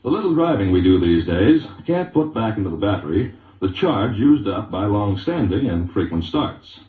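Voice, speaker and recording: A man's voice: lowish voice, very animated, moderate pace, far from the mic. A man reading a 1940s radio advertisement as a commercial announcer. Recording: muffled, echoey 1940s radio recording.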